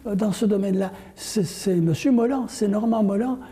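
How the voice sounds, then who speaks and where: Older guy being interviewed, very mellow dark voice, explains stuff carefully and rewords it. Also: high-pitched. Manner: deliberate, rephrasing as he explains. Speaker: interview guest, language course creator.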